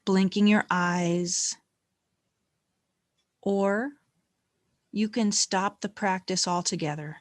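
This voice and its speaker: A woman leading a guided meditation in a gentle, calm, unhurried manner with long pauses between phrases. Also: medium pitch, no echo, studio quality.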